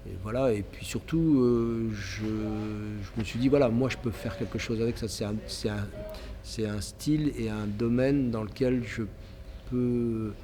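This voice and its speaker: A man's voice: medium pitch, fairly even intonation, a little slow, dark tone. A man with a head cold, an author, actor and stage director, talking hesitantly in an interview. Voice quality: hesitant, many fillers and restarts.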